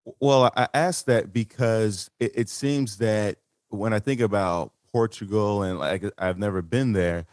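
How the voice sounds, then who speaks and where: A man hosting an interview, asking reflective questions with halting, stuttering starts and many fillers. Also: fairly low pitch, a little mellow, no echo, clean studio sound. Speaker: podcast host.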